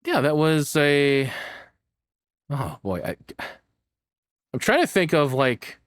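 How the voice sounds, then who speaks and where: A man's voice: on the high side, big pitch swings, slow, no echo, clean studio sound. A man hosting a reaction video, giving off-the-cuff commentary with rambling, jokey, slangy asides.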